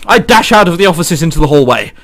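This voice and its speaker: A man's voice: on the high side, sing-song, fast talker, fairly bright tone. A man reading a visual novel aloud and breaking off into jokey, theatrical asides, with game music behind him. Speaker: let's play host.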